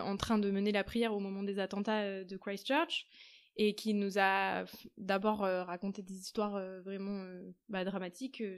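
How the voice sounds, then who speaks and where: A young woman in her twenties, a podcast guest, telling her travel experiences in a lively, halting way, often trailing off. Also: mid-range voice, clean tone. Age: 20s. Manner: animated, frequent fillers, trailing off into quiet. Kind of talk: conversational storytelling.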